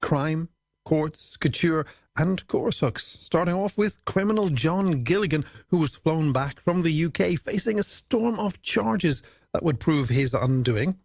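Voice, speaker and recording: A man's voice: fairly high pitch, lively intonation, a little slow, vocal fry, dry recording. A man presenting a late-night music radio show in a chatty, scripted style, with playful, wordy patter aimed at the listener.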